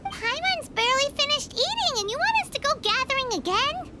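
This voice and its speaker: A woman voicing a tiny, bubbly female game companion who talks about herself by name, over loud game music. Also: extremely high pitch, sing-song, brisk, very noisy. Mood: whiny. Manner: chirpy, speaks of herself by name. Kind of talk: game voice acting. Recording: studio game audio with music behind.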